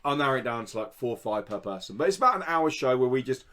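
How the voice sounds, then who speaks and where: A man's voice: medium pitch, sing-song, fast, pushed and bright. A British man hosting a podcast, chatting informally with a teasing manner. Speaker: podcast host. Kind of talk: casual podcast banter.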